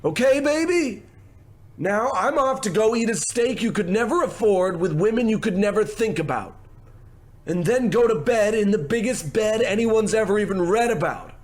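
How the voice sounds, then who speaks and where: Here is a man acting as a pushy male show-business producer from an old-style radio comedy. The voice sits high and swings widely in pitch. He sweet-talks and belittles in the same breath, smug and bossy. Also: animated, normal speed. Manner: smooth-talking, condescending, gushing endearments. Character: brash, smarmy, domineering male TV producer.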